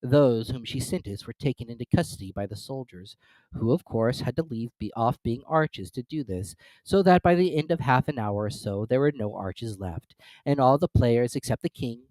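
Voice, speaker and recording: A storyteller giving a measured, expressive dramatic reading of a classic tale. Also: medium pitch, dry recording, rough recording. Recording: dry close recording with soft music.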